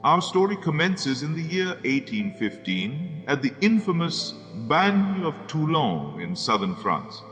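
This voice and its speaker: A man's voice: a little high, sing-song, unhurried, hardly any pauses, fairly bright tone. A man playing a solemn former soldier who narrates as a storytelling host, earnest and oratorical in a dramatic scripted style. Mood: grave, earnest. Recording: long cavernous reverb with music behind. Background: music.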